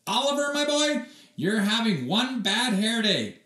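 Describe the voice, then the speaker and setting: Man acting a hearty, high-pitched storybook dad. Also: sing-song, measured pace, bright, forceful tone, reverberant, far from the mic, heavily acted. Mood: matter-of-fact.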